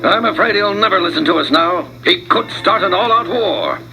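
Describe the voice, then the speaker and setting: A commanding army general gives orders in a firm, fairly high voice, as a man acts him out. Music plays behind him in this storybook recording. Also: animated, moderate pace, rough recording. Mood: stern. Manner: firm, authoritative. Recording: voice over music. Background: background music.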